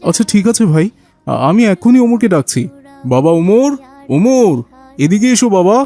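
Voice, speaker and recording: Male actor as talkative neighbour man, high pitch, children's cartoon dialogue, faint music. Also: animated, measured pace, mellow. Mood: concerned, helpful.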